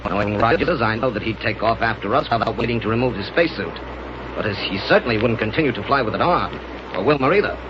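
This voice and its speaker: An actor playing a cocky, ruthless space villain in a smug, self-assured manner, in 1930s radio serial style. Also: male voice, lowish voice, animated, quick pace, lightly acted. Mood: confident, gloating. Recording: dull, noisy 1930s radio recording.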